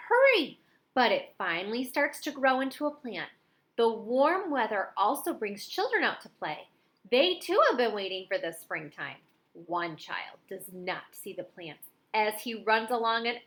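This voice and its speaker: Woman, fairly high voice, children's read-aloud style, expressive, dramatic emphasis. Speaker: storybook reader.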